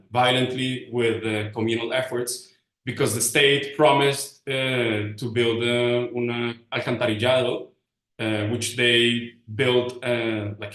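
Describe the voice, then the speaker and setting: A man giving an informal presentation, pausing often as he searches for English words. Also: medium pitch, fairly bright tone. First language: Spanish. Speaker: panelist presenting community research. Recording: roomy, echoing sound.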